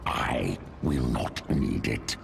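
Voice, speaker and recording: A man playing a huge primal alien pack leader in a video game, stoic and predatory, with a guttural growl and terse, deliberate sentences. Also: rumbling low, vocal fry, noisy background, full character voice. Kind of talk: video game cinematic acting. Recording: loud music behind the voice.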